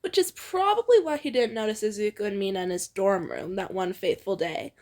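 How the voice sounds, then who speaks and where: A woman's voice: medium pitch, expressive, brisk, darkish tone. A young woman reading fan fiction aloud informally, playful and giggly, slipping into casual banter.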